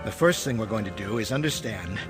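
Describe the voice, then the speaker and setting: An actor playing a scheming, easily flustered Imperial colonel in a science fiction audio drama, bossy and exasperated. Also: male voice, fairly low pitch, lively intonation, brisk, over music, lightly acted. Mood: firm, scheming. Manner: exasperated, bossy with underlings, fawning to superiors.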